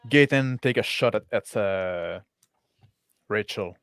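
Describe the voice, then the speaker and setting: Male game master, lowish pitch, slow halting narration, dry sound, improvised descriptions. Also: a bit bright, clear, resonant tone, no echo. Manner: halting, piecing descriptions together.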